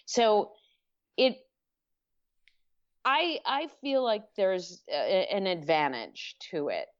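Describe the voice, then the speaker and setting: A middle-aged woman speaking as an author in a podcast interview, thoughtful and measured, often restarting her sentences. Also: mid-range voice, lively intonation. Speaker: author and guest. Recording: dull lo-fi podcast audio.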